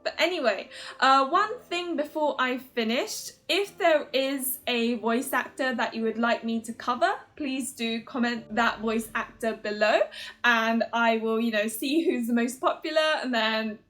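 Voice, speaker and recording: A woman with a fairly high voice talks casually to her viewers as the host of a fan video. Her manner is giggly and enthusiastic. She rambles informally and is quick to make fun of herself. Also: a bit bright. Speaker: video host, otome game reviewer. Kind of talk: casual chatty commentary to viewers.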